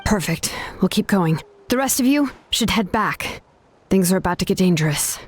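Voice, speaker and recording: A woman's voice: medium pitch, lively intonation, moderate pace, husky. A woman playing a capable, practical young female warrior in an anime game, with a casual, matter-of-fact manner. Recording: music under the voice. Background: game music.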